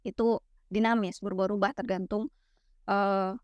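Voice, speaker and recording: A young woman from West Sumatra explaining ideas in a relaxed, teacherly chat, full of fillers and tag questions. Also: mid-range voice, normal speed, no echo, muffled recording. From West Sumatra, Minangkabau. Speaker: guest explaining literary theory.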